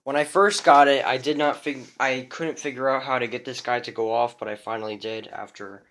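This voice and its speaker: Young dude rambling about his weather radios, lots of uh and restarts, mid pitched voice. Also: fairly even intonation, fairly quick, a bit bright. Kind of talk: casual show-and-tell narration.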